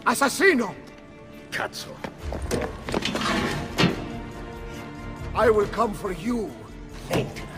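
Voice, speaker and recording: Menacing Italian villain, high and theatrical. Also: male voice, lively intonation, unhurried. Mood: vengeful. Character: ruthless, power-hungry Italian nobleman villain, raging and menacing. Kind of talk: dramatic video game acting. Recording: loud music behind the voice.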